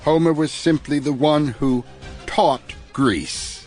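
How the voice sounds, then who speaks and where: A man's voice: on the high side, takes it slowly, a little mellow, soft background music. A man reading a scripted literary introduction as an audiobook narrator.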